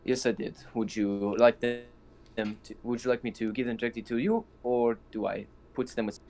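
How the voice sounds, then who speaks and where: A man's voice: medium pitch, moderate pace. A man playing in a tabletop roleplaying game, stumbling and restarting as he speaks. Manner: hesitant, stumbling over words. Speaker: player. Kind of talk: casual tabletop play.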